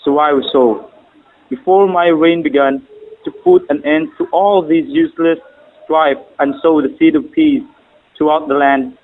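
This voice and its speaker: A man performs a proud and courtly emperor, speaking grandly in poetic drama lines. His voice sits a little high for a man, and the audio is narrow like a phone line. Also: very animated, a little slow, creaky, a little hiss or hum, full character voice. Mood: proud, resolute. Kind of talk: stylized stage drama recital of poetic lines.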